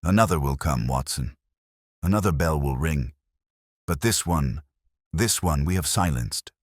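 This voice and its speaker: A man voicing a grave, brooding, cerebral detective in a storybook reading, speaking in measured, solemn aphorisms. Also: rumbling low, animated, slightly rough, no room sound, studio quality, exaggerated voice. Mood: grave, soft.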